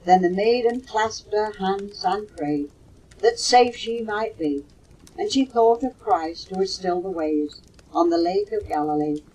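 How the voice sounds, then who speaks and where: An older woman with a husky voice, reciting poetry slowly and expressively on a low-fidelity home cassette recording. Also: mellow, rough recording. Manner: slow, measured, dramatic storytelling delivery. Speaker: mother reading poems for her children.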